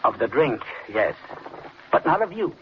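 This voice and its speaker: An actor playing a coldly scheming, murderous husband in a deliberate, theatrical 1940s radio style. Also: male voice, medium pitch, sing-song. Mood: cold, menacing. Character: coldly scheming husband calmly plotting his wife's murder. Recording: muffled, noisy old radio recording.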